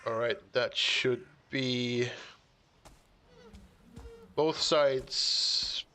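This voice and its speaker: Male game streamer, mid-pitched, casual thinking-aloud chat, frequent chuckles. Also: steady tone, very slow, pushed and bright, slight background noise. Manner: chuckling often, musing half to himself. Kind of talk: casual live-stream commentary while building.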